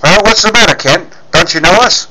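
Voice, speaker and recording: A man voicing a brisk, fatherly, blustery newspaper editor in 1940s radio serial style. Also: sits high, bright, forceful tone, a little hiss or hum, pitched above the performer's own voice, poor audio. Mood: surprised, insistent.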